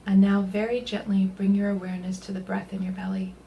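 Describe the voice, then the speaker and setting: A woman leading a guided body scan meditation, speaking gently and unhurriedly in a soothing way, with soft, breathy phrase endings. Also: medium pitch, completely flat delivery, brisk, dull audio, slight background noise.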